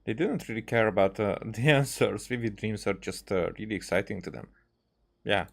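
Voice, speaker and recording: Low-voiced man, rambling game commentary. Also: vocal fry, noisy background. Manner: halting, rambling, circling back over words. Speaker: gaming streamer playing and commenting on a game. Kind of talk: casual unscripted game commentary.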